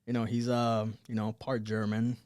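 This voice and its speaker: Relaxed, rambling man in his twenties. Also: mid-range voice, normal speed, no room sound, studio quality. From Cuba, raised in Miami. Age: 20s. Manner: relaxed, rambling, many fillers. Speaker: guest. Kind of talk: casual chat, telling stories.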